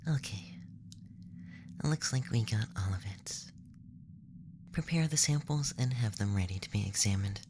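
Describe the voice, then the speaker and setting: A man voicing a curious, bumbling alien examiner in a soft, breathy ASMR whisper, close to the microphone. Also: fairly low pitch, animated, measured pace, long pauses, noisy background. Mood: pleased, gentle. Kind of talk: ASMR roleplay.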